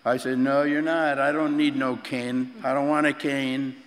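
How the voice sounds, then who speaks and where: An eighty-year-old man speaks slowly to a group in a large meeting room, halting and repeating words, chuckling as he goes. His voice is of middle pitch, and it carries a long hall reverb. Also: little pitch movement. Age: 80s. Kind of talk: personal testimony to a group.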